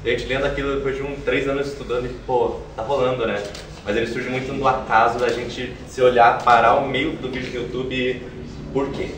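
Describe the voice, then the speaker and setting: Young male interviewee, rapid informal delivery, recorded at some distance in a reverberant room. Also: medium pitch, level tone. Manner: informal, colloquial, thinks aloud. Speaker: theatre artist being interviewed. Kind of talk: animated conversational interview answers. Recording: roomy, distant mic, faint noise.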